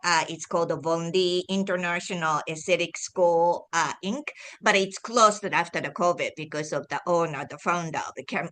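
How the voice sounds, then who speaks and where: A woman from Japan speaking English with a Japanese accent, a guest telling her story in a halting way with many restarts. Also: fairly low pitch, fairly bright tone. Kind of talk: casual interview talk.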